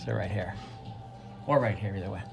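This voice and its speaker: A woman's voice: very deep voice, fairly quick, vocal fry, music in the background. A woman playing a tabletop game, casually talking through tactics and telling others where to go.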